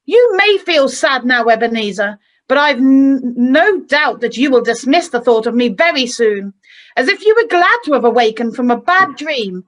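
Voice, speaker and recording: A woman playing a heartbroken young fiancée, earnest and gently reproachful, in an amateur radio play. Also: sits high, lively intonation, normal speed. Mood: sorrowful reproach. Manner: earnest, sorrowful.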